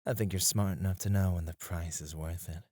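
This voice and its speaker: A man playing a suave, flirtatious club escort in an intimate ASMR roleplay, teasing and breathy, close to the mic. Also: low-pitched, fairly even intonation, darkish tone, no room sound. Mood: flirty, teasing. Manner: slow, teasing, seductive, often dropping to a breathy murmur. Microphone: close studio microphone, dry.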